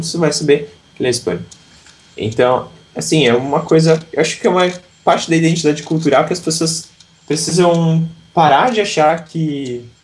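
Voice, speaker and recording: A man from southern Brazil, an English teacher, talking reflectively in a casual interview with frequent restarts. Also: fairly high pitch.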